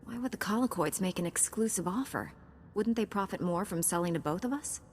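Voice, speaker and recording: A woman's voice: medium pitch, animated, fairly quick, a little hiss or hum, close to an everyday voice. Woman as resolute Jedi knight, ringing hall reverb. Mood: determined. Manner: earnest, direct, confident. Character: resolute, dutiful young female Jedi knight. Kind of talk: scripted video game dialogue. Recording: long hall reverb, faint music behind.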